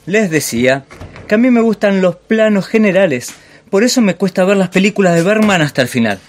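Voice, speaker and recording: An actor playing a pompous, bullying master chef and dreamy film snob in a radio play, theatrical. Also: male voice, high-pitched, animated, a little fast. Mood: musing, pompous. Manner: pompous, digressive, theatrical monologuing.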